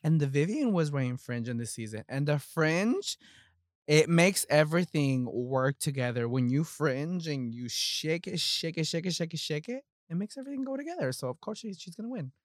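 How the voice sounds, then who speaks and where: A man's voice: on the high side, animated, normal speed, no room sound, studio quality. Sassy man hosting a casual recap podcast. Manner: sassy, profane, emphatic slang. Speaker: podcast host. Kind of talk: casual pop-culture recap chat.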